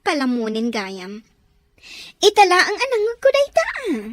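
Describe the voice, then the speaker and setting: A very high-pitched young woman, played by an actress in an audio drama. She is coy and teasing, swinging animatedly through her lines in a dry, close recording. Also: wildly swooping pitch, moderate pace, no room sound, heavily acted. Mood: playful teasing. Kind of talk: Ilocano radio-style drama acting.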